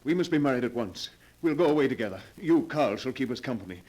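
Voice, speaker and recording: Actor as unbalanced gentleman recluse, polished and smooth, mid-pitched, old-time radio play. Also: lively intonation, fast, lightly acted. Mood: insistent. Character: unstable reclusive man, smooth and persuasive. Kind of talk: 1940s radio acting.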